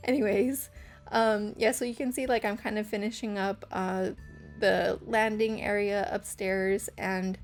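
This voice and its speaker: A young woman with a hoarse, scratchy voice, rambling through a casual gameplay voice-over with lots of fillers. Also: medium pitch, steady tone, a little slow, faint music behind. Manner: rambling, hesitant, full of fillers. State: hoarse from karaoke. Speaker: channel host.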